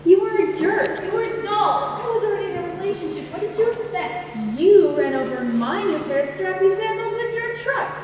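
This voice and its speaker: Aggrieved, needy woman played by an amateur actress in stage comedy; very high pitch, distant reverberant recording. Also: lively intonation, normal speed, hardly any pauses. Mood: indignant. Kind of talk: amateur comic stage play acting. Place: school theatre stage, recorded from the audience. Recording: very echoey hall from far off.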